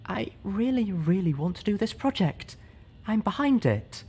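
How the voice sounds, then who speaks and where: A man voicing a gentle, soft-spoken female pony who sounds weakened and short of breath. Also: a little high, animated, normal speed, husky, voice pushed up. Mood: pleading.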